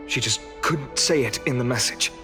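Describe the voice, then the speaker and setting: A man's voice: mid-range voice, moderate pace, close to an everyday voice. A man voicing an earnest, analytical young adventurer in a video game, serious and reasoning, with music underneath. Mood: tense, pensive.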